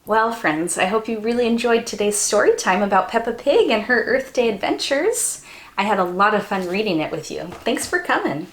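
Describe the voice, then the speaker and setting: A woman's voice: medium pitch, very animated, moderate pace, roomy sound. A young woman reading a storybook aloud to children, with exaggerated wonder and sing-song emphasis. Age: young adult. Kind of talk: animated children's read-aloud. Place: small room indoors by a window.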